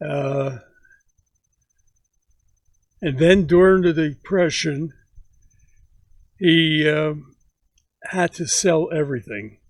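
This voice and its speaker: Slow-talking man in his nineties. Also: a little high, no echo. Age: 90s. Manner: slow, halting recollection with many pauses. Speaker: grandfather telling stories. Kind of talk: casual family interview.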